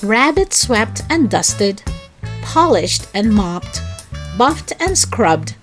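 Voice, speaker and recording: Woman reading a children's story, very lively intonation. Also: on the high side, faint music behind. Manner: expressive, deliberate storytelling. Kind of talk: expressive read-aloud narration of a children's story.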